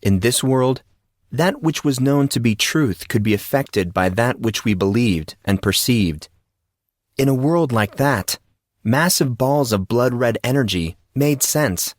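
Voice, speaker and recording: A man narrating a fiction audiobook in a dramatic, deliberate scripted style. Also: medium pitch, lively intonation, no room sound, studio quality.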